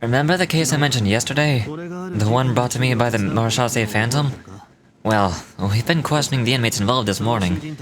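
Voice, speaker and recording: A man's voice: mid-range voice, animated, a little fast, music in the background, lightly acted. An actor playing a composed, authoritative male official in a video game, with chatter behind. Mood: calm, measured.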